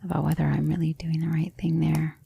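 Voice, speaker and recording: A woman playing a gentle, earnest, devoted female vampire in an intimate ASMR roleplay, speaking tenderly and a little hesitantly. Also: low voice, level tone, fairly quick, full character voice. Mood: troubled, doubting. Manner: soft, hesitant, tender, often breathy near-whisper.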